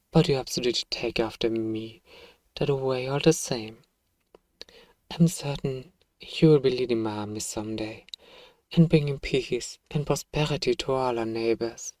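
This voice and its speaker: A man playing a self-righteous evil emperor, softly and coaxingly telling a bedtime story to a child in an ASMR roleplay. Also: mid-range voice, no room sound, close to an everyday voice. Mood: doting, scheming.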